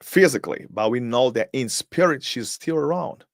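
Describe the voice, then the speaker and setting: A man's voice: mid-range voice, animated, normal speed, vocal fry, dry recording, clean studio sound. A man whose first language is Portuguese gives a live-streamed motivational talk as host. He is emphatic, fires off rhetorical questions, and repeats words as he searches for them.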